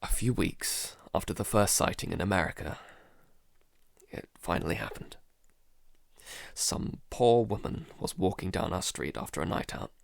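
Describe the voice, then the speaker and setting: A man playing a frightened, traumatised survivor in a halting, dramatic audio-drama monologue. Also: low-pitched, vocal fry, no echo, clean studio sound. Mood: grim, haunted. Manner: halting, stammering, broken by sighs. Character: frightened, traumatised male survivor keeping a monster-apocalypse audio diary.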